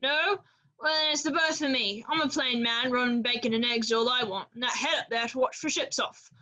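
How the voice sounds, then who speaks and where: A woman playing a gruff, suspicious old seaman captain in a radio play, with salty sailor talk and a bossy manner. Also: a little high, fairly even intonation, normal speed, pushed and bright, poor audio. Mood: gruff, sizing up.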